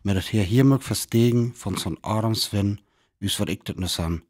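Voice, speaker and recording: Low-voiced North Frisian actor as a grave survivor. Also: male voice, moderate pace, a little mellow, lightly acted. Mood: grave, sombre. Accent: North Frisian, from Föhr. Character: grave male survivor recounting the end of mankind. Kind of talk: radio drama narration. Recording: clearly noisy with ambient sound behind.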